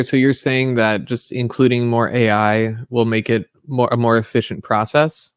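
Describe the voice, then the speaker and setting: A man hosting a podcast interview, asking probing questions and pushing for clearer answers. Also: medium pitch, no echo, clean studio sound. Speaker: podcast host.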